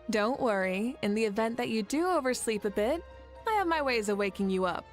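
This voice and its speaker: A woman voicing a confident, carefree, teasing warrior leader in a video game, self-assured and measured. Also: fairly high pitch, lively intonation, soft background music, lightly acted.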